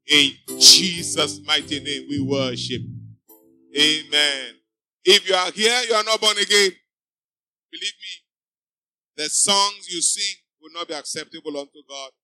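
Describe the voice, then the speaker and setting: A male pastor preaches in a high, piercingly bright voice. He drives his points home with emphatic, repeated refrains to a church congregation. Soft music hums faintly behind him. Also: a little slow, dry recording, low-quality recording. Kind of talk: sermon. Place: church before a live congregation.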